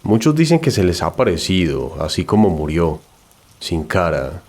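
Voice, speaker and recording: A man's voice: low voice, big pitch swings, normal speed, darkish tone. A man narrating a scripted first-person horror story.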